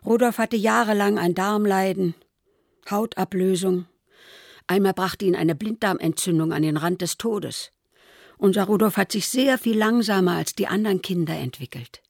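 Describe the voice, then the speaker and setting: Low, husky, creaky old mother. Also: moderate pace, dry recording, studio quality. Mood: sober recollection. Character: aging mother soberly recalling her sickly, troubled son. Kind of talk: radio play monologue.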